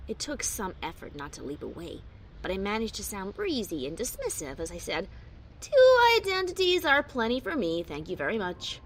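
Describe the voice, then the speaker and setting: Adventurer pony narrator, played by a woman: female, lively varied pitch, wry bravado with nerves underneath, first-person storybook drama. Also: moderate pace. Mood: guarded. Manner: expressive, breezy bravado over nerves.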